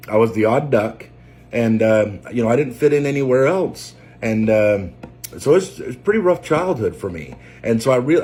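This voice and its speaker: A man in his forties from Maine with a Maine accent, telling his life story unscripted, halting and close to tears. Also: medium pitch, darkish tone. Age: 40s. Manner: halting, many fillers and restarts. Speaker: trucker and preacher. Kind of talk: unscripted personal testimony.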